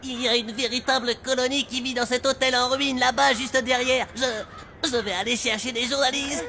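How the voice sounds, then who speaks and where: A woman voicing a brash, nosy, excitable young boy in a French cartoon, with a cheeky and boastful delivery. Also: high voice, moderate pace, gravelly, music in the background. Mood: boastful excitement. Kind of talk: French animated cartoon dubbing.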